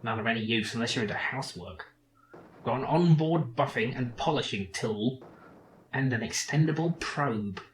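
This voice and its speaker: A bitter little robot sidekick in a game, sarcastic and grumbling, with a man putting the voice on. The delivery is dry and sulky at a middle pitch. A faint hiss sits under the voice. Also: normal speed, close to an everyday voice, rough recording. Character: snarky sulking male robot sidekick. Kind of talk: reading game lines aloud in character.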